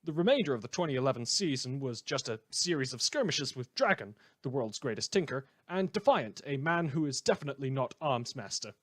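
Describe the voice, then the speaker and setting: A man's voice: mid-range voice, very animated, a little fast, few pauses. A man playing a chatty, blithely amoral small-town radio host who rambles and corrects himself through a satirical news broadcast. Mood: enthusiastic newscasting. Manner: rambling, cheerfully flippant, self-correcting.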